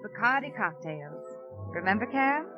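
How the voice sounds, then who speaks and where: A woman's voice: medium pitch, expressive, moderate pace, natural voice. An actress playing a poised, tender woman under suspicion in a 1950s radio drama, speaking in an intimate way. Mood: warm, light. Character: poised, tender woman suspected as murderous stepmother. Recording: muffled 1950s radio recording, music behind.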